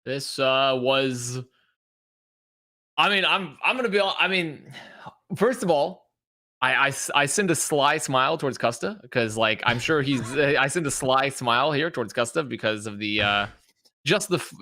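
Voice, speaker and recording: A man with a bright, forward voice at a medium pitch talks as an esports podcast panelist. His delivery is casual and wry, starting and stopping as he works through his analysis.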